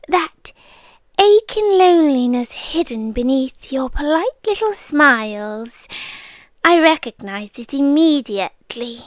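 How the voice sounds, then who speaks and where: A woman's voice: high-pitched, no echo. A British woman voicing a centuries-old vampire countess in a girlfriend ASMR roleplay, intimate and theatrical, possessive and adoring, often slipping into whispers.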